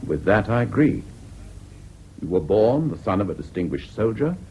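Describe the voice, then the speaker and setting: British judge, grave, stern, measured, expressive pitch, radio drama, dull noisy recording. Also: male voice. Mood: grave, disapproving. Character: grave, disapproving English judge passing sentence. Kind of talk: formal BBC radio drama acting. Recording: dull lo-fi old radio recording, background noise.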